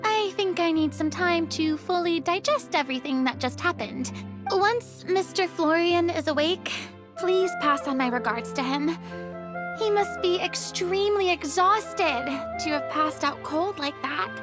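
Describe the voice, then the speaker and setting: A woman's voice: extremely high pitch, unhurried, over music. A woman voicing a cheerful, self-assured young female stage star in a video game, with a dramatic, chirpy manner. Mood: breezy, cheerful.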